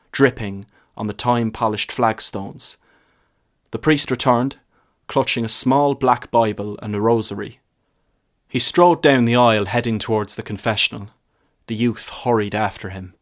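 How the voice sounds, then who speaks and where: Man narrating a short story, medium pitch. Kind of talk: scripted narration.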